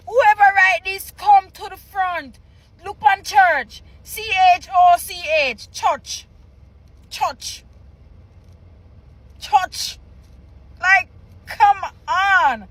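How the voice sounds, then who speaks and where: A Jamaican woman with a high, bright-pitched voice talks slowly and deliberately. She reacts with incredulous laughter and drives points home by repeating them. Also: poor audio. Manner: incredulous, laughing, emphatic repetition. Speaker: reaction video host. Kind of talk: casual reaction commentary, reading aloud.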